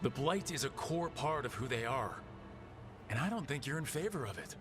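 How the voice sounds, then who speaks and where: A man's voice: medium pitch, sing-song, normal speed, music in the background, close to an everyday voice. A man playing a determined, heroic protector in a video game, speaking directly and insistently as he pleads a case. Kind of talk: dramatic video game acting.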